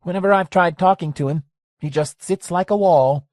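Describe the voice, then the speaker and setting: A man's voice: high-pitched, normal speed, darkish tone, no echo, pitched above the performer's own voice, lightly acted. A folksy, wary hangout owner , voiced by a man reading an audiobook, talks in a voice pushed well above the reader's own. The recording is close and dry. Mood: wistful.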